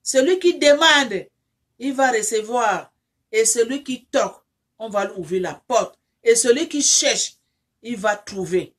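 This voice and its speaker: A woman leading a heartfelt prayer and appeal to her online audience, in French that is not her first language. Her voice sits a little high, and she circles back over the same words with fillers and restarts. As the prayer builds, she grows loud and insistent. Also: lively intonation, measured pace, husky. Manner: repetitive, fervent, with many restarts and fillers; rises into loud insistent pleading when praying. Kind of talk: informal prayer and appeal to viewers.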